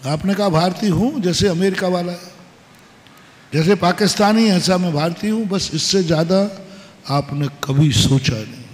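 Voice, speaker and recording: A man preaching a sermon as a monk, slow and emphatic, posing rhetorical questions and repeating short maxims. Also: high voice. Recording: long hall reverb.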